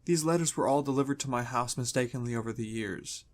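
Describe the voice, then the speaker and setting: A man's voice: medium pitch, fast, close to an everyday voice. A man playing an earnest, polite young male pony in an amateur audio drama. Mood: apologetic.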